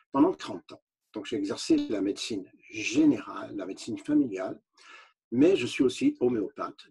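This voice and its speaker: An older man who grew up speaking English, a retired doctor explaining at length in a measured way, with frequent hesitations and restarts. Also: lowish voice, vocal fry, warm tone, husky. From Canada. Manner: unhurried, many hesitations and restarts. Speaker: physician. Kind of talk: explaining to an interviewer.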